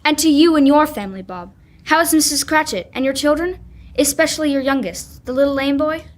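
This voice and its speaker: A young woman playing a cheerful, warm-hearted young nephew in a live radio drama, earnest and pleading. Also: high-pitched, a little fast, natural voice. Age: teenager or young adult. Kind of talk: live old-time radio drama reading.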